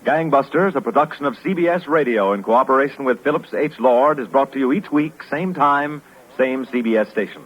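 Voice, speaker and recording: A man's voice: mid-range voice, brisk. An American man announcing formally and emphatically from a script in the style of 1950s network radio. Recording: muffled vintage radio broadcast recording.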